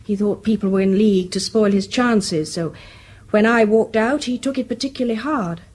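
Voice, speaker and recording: An actress playing a composed, wry and candid imprisoned English novelist, with an educated accent and restrained, dry delivery in British radio drama style. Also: female voice, mid-range voice, moderate pace, darkish tone, slightly rough, natural voice. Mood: wry, bitter.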